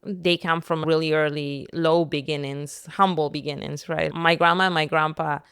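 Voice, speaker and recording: Smooth-voiced woman, lower pitched. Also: steady tone, moderate pace, fairly bright tone, dry recording, clean studio sound. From Peru. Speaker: podcast guest, entrepreneur and content creator. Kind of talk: personal storytelling in casual conversation.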